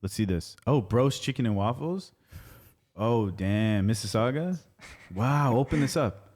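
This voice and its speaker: A man's voice: mid-range voice, animated, unhurried, darkish tone. A man hosting a podcast in casual chat, rambling with many fillers and sounding enthusiastic. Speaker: podcast host.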